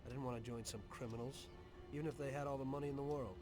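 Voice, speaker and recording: Young cab driver for a game's story male actor: mid-pitched, plain-spoken, wry, under loud music. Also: fairly even intonation, fast talker, natural voice. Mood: reflective. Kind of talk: first-person story narration and game dialogue.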